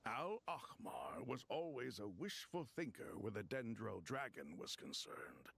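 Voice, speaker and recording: An actor playing an ancient, courteous crocodile king spirit in a video game, speaking formally and deliberately. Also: mid-range voice, big pitch swings, creaky, a bit bright, husky, exaggerated voice. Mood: solemn storytelling. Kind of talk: video game voice acting, storytelling dialogue.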